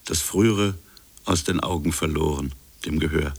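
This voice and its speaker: Colonial official for radio drama monologue: low, husky, haunted, halting and inward. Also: male voice, exaggerated voice. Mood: reflective, searching. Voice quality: gravelly, husky. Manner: halting, musing, fragmented phrases. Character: brooding French colonial official haunted by uncertain memories.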